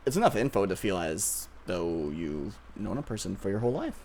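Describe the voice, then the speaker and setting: A man playing an obsessive, tender but possessive childhood-friend boyfriend in an ASMR roleplay, speaking softly and hesitantly with nervous pauses. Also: sits low, sing-song, slight background noise. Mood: creepy, soothing.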